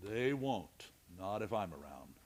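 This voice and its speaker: An actor playing a steady, commanding, fair-minded frontier marshal and narrator in a western radio play. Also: male voice, lowish voice, measured pace, gravelly, exaggerated voice. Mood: easygoing. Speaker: lead character and narrator.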